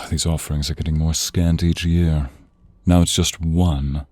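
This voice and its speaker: A man voicing a seductive, domineering vampire in an ASMR roleplay, teasing and commanding in a breathy voice that often drops to a whisper. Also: a bass voice, fairly even intonation, slow pace, a little mellow, no echo, studio quality, full character voice. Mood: brooding, seductive. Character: sinister, seductive male vampire, domineering and predatory master. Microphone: close, dry studio microphone.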